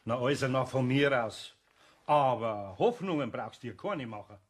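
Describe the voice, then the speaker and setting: An actor playing a skeptical, good-natured master carpenter with a Bavarian accent, grumbling and dismissive in a radio play. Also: male voice, mid-range voice, animated, a little slow. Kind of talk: German radio play dialogue.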